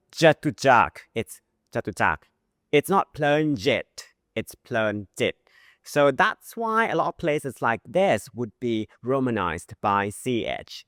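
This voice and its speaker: Bright, lively man teaching pronunciation. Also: medium pitch, clean tone, dry recording, studio quality. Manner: modeling pronunciation with drawn-out sounds. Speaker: language teacher. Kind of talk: language lesson.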